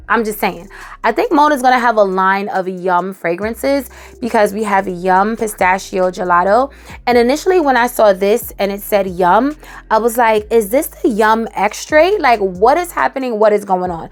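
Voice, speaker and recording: A woman with a hoarse, raspy voice after a cold, chatting animatedly as she reviews a perfume. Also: medium pitch. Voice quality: raspy, dry, cracking. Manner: animated, emphatic, tosses in "okay?". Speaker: fragrance reviewer. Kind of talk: casual chatty review to camera.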